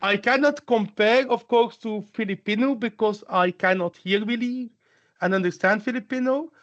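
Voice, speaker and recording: Man, high voice, halting and restarting, muffled video-call audio. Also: fairly bright tone. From Belgium. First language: Flemish Dutch. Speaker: guest speaker. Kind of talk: casual webinar talk.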